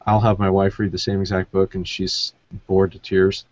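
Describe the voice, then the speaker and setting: A man's voice: sits low, monotone, moderate pace, a little mellow, no echo, highs cut off. A man hosting a podcast in a casual, chatty way with a dry, teasing sense of humour. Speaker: podcast host. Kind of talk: casual chat.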